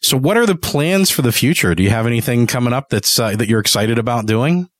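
A man's voice: mid-range voice, lively intonation, fast talker, dry recording, studio quality. A man hosting a podcast interview in a casual, conversational way.

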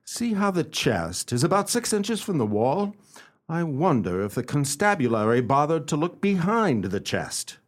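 A man playing a formal, self-assured gentleman detective in a radio play, speaking in a deliberate, theatrical way. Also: fairly high pitch, sing-song. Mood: intrigued, probing. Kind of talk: radio play acting with first-person narration.

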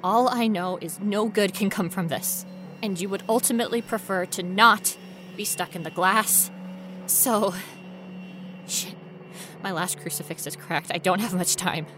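A woman playing a frightened, stubborn young female ghost researcher in a first-person horror audio drama, with an intense, breathy delivery. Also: mid-range voice, unhurried, music in the background, lightly acted. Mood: resolute, desperate. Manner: intense, breathy, dropping to whispers and rising to cries.

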